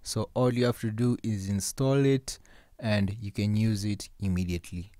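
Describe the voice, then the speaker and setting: A man's voice: on the low side, steady tone, normal speed, mellow, no room sound, studio quality. A man narrating a step-by-step phone app tutorial as the host of a how-to channel.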